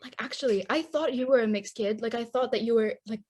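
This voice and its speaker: A young woman with a slightly high voice co-hosts a casual podcast. She talks playfully, teasing her friend and leaning on "like" as she goes. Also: fast, darkish tone, breathy edge. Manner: teasing, playful, heavy use of "like". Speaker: podcast co-host. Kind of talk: casual chat.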